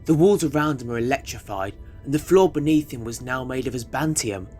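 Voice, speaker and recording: A man's voice: medium pitch, expressive, a little fast, darkish tone, faint music behind. A man reading scripted narration for an audio drama.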